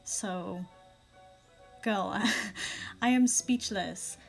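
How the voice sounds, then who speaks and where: A young woman reading viewer stories aloud in a casual vlog, with sassy asides and quick restarts. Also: mid-range voice, gravelly, faint music behind. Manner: chatty, sassy asides, frequent laughs and restarts. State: recently sick.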